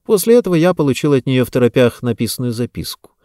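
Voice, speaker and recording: A man reading a first-person novel as an audiobook narrator, in an expressive, dramatized way. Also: mid-range voice, fast, dry recording, studio quality.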